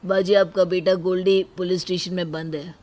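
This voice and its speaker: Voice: mid-range voice, flat delivery, normal speed, darkish tone, a little hiss or hum, close to an everyday voice. A performer voicing a matter-of-fact, formal police caller in a cartoon story. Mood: official. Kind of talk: cartoon moral story acting.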